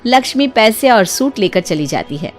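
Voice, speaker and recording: A woman's voice: fairly high pitch, expressive, normal speed. Woman narrating a story over music.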